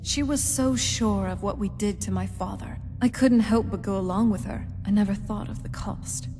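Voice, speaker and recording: An actress playing a thoughtful, guarded vampire noblewoman in a fantasy game, soft-spoken, measured and sincere. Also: medium pitch, music in the background, lightly acted. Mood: wistful. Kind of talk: scripted fantasy game dialogue. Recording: reverberant stone hall, background noise.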